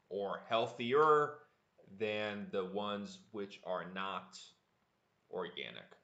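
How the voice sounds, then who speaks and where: A man teaching English on a livestream, speaking deliberately and repeating words for learners. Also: on the low side, a bit bright, muffled recording. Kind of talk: live online language lesson, answering viewer chat. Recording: echoey room.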